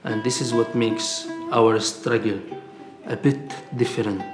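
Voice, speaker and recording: A man's voice: medium pitch, level tone, measured pace, echoey room, loud music behind the voice. A man from Lebanon, giving an earnest, explanatory documentary interview.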